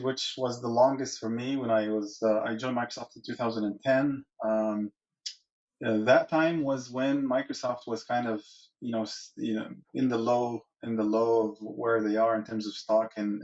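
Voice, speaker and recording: A man's voice: medium pitch, a little mellow. A man in his forties from Egypt, a guest in a podcast interview, who talks in long rambling answers full of restarts and fillers. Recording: dull lo-fi sound.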